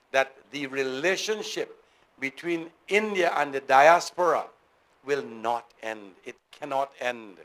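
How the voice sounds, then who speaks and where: A man's voice: mid-range voice, a bit bright, rough recording. A middle-aged man from Guyana giving a formal, earnest speech to an audience and repeating key phrases for emphasis. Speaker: guest speaker.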